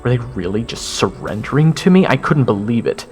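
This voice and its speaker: A man's voice: mid-range voice, expressive, fairly quick, a little mellow, lightly acted. A man playing a nervous young swordsman, narrating a fantasy encounter in the first person with earnest, dramatic delivery. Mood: hushed, awed. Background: fantasy game-style music.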